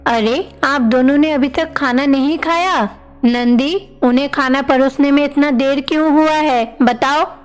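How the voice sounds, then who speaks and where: A woman's voice: high voice, unhurried, faint music behind. A woman playing a stern mother goddess, scolding and commanding, in devotional storybook dialogue. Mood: questioning.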